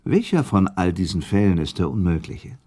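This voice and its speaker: A man's voice: low-pitched, very animated, moderate pace, dark tone. A man playing a noble, sceptical prince in a German radio play, arguing insistently and reasoning with rhetorical questions. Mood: probing, argumentative.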